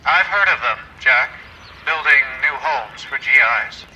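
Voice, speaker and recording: An actor playing an evasive, bullying American insurance executive, curt and commanding, in a period noir video game. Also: male voice, on the high side, expressive, measured pace, lo-fi sound, very noisy.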